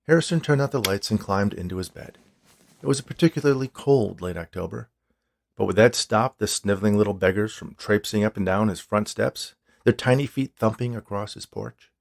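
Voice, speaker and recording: A man's voice: fairly low pitch, normal speed, gravelly, a little mellow. A man reading his own short story as scripted narration.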